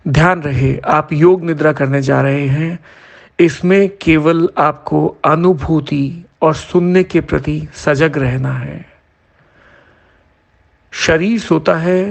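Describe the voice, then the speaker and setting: A man leading a guided yoga nidra meditation as an instructor, giving measured instructions in short phrases with pauses. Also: fairly high pitch, gravelly.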